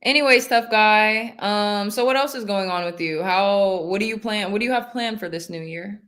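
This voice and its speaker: Young woman, stream host, bright forward tone, keeps steering the chat. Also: medium pitch, normal speed. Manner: talkative, steering the conversation. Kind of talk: casual livestream panel chat.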